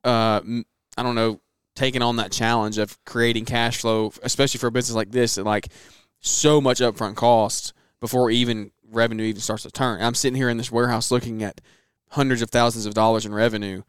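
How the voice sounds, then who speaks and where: A man's voice: mid-range voice, flat delivery, a little fast, few pauses, smooth, no echo. A man hosting a casual interview, chatty and agreeable, asking questions in a warehouse. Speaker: podcast host, interviewer.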